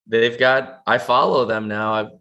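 An easygoing man with a middle-pitched voice co-hosts a casual soccer podcast. He chuckles along and chats loosely about family and teams. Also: steady tone, measured pace, bright, forceful tone, highs cut off. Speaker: podcast co-host. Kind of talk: casual sports chat.

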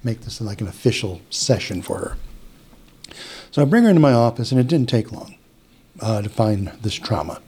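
A man's voice: on the low side, lively intonation, moderate pace, pauses often, dark tone, breathy edge. A middle-aged man with an Upper Midwest American accent, a hypnotist telling a long story in casual interview chat, with restarts and quoted dialogue. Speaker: hypnotist guest.

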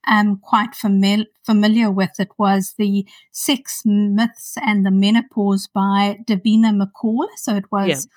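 A woman in her fifties with a South African accent, a podcast guest talking thoughtfully with many pauses. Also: medium pitch, a little mellow, dry recording, distant mic. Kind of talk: casual interview.

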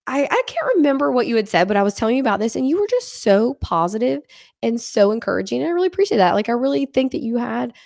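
A woman's voice: on the high side, sing-song, fast, creaky, darkish tone, no echo, clean studio sound. A woman hosting a podcast in casual chat, nasal from a cold, bubbly and laughing often. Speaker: podcast host. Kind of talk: casual conversational interview.

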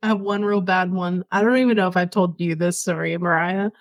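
A woman's voice: fairly low pitch, a little fast, no echo. A young woman from Venezuela, co-hosting a podcast, telling a story with lots of laughter and casual swearing. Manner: animated storyteller, laughs often, swears freely.

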